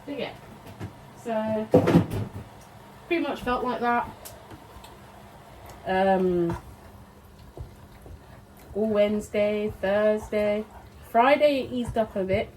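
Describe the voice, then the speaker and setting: A chatty British woman talks casually while cooking in her home kitchen, swearing freely and laughing often. Her voice is congested and sniffly, at a middle pitch. There is a faint hum of room ambience behind her. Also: extremely slow, long pauses, a little mellow, poor audio. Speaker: vlogger.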